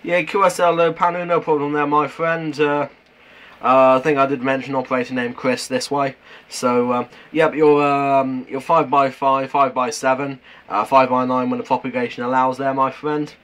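A British man in casual two-way radio chat, halting and matey, using operator jargon. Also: mid-range voice, level tone, fairly bright tone. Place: at home beside a CB radio set. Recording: noisy room with radio hiss.

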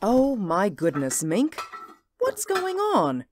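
A woman playing an amused, indulgent mother who gently chides and humors her child in a radio drama. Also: medium pitch, huge pitch swings, slow, background noise.

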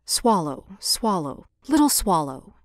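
A woman voicing a gentle, sorrowful prince who is a statue, speaking solemnly and tenderly in a storybook tale. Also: on the low side, big pitch swings, slow pace, dry recording, studio quality, voice pushed down. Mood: tender pleading.

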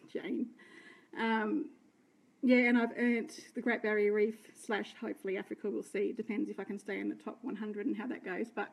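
An Australian woman in her thirties chatting unscripted on a live phone video from a room at home, her voice a little croaky, rambling and self-deprecating as she tells her story. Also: mid-range voice, a little mellow, poor audio. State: slightly croaky. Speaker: direct sales team leader. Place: indoor room by curtains. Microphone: phone held close, front camera.

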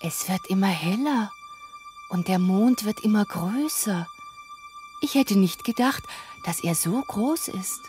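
An actress playing an innocent, trusting young princess in a radio play, simple and childlike. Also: female voice, medium pitch, animated, a little slow, a little mellow, faint music behind, natural voice. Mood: wistful, wondering.

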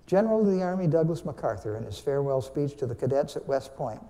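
A man's voice: on the high side, animated, quick pace, dark tone. Older man teaching in a reverberant sanctuary. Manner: conversational, teacherly, asks the audience questions. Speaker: church speaker, veteran. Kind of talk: Veterans Day sermon talk with questions to the congregation. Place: large church sanctuary, standing before pulpit. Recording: long hall reverb.

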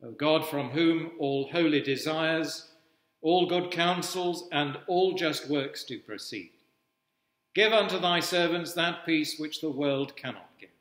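Slow, solemn older priest in a reverberant church. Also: male voice, fairly high pitch, a bit bright. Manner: solemn, measured, formal. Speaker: Anglican priest leading evening prayer. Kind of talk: liturgical reading of traditional prayers. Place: indoors at a wooden-panelled cathedral altar. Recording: echoey church with long reverb.

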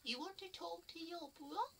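A man voicing a scatterbrained, chatty sister in a comic phone call, dotty and exclaiming, with drawn-out words. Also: very high-pitched, creaky, bright, forceful tone, slight background noise, voice pushed up, full character voice. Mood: dotty, inquisitive.

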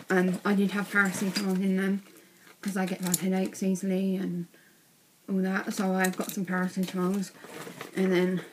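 A teenage girl, chatting casually in a vlog from her bedroom, a bit croaky from being ill, with lots of ums. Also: medium pitch, slight background noise, low-quality recording. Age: teens. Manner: chatty, rambling with many ums. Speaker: vlogger. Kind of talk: casual vlog showing bag contents. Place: small bedroom indoors.

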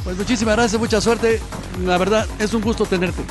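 A man's voice: high voice, steady tone, fairly quick. A middle-aged man with a Mexican Spanish accent hosting a TV sports interview outdoors with a handheld mic, enthusiastic and exclamatory. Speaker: host. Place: outdoors by a fountain. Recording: music bed under voices.

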